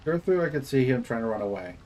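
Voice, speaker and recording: A man streaming a strategy game who thinks aloud in a relaxed way, his voice a little raspy and tired. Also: mid-range voice, quick pace. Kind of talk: live gameplay commentary.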